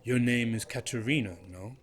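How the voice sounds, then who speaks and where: A man playing Solomon, a cryptic stranger who watches people from a tavern corner and speaks in slow, leading questions. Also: mid-range voice, a little hiss or hum, lightly acted.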